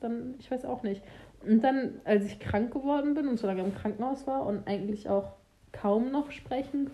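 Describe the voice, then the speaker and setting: A young woman chatting candidly in casual conversation, with some halting restarts. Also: a little high, mellow. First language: German.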